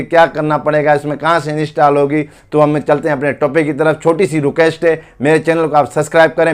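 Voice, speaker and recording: A man's voice: on the high side, smooth. A man hosting a tech tutorial and narrating an app review, often addressing his viewers as friends. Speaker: host of a tech tutorial channel.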